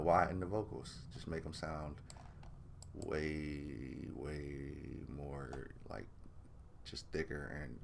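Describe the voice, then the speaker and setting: A man with a deep voice talking through a vocal mix in a laid-back, rambling way, full of fillers, from his home studio. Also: gravelly, noisy background. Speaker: music producer teaching vocal mixing. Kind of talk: casual screen-recorded tutorial.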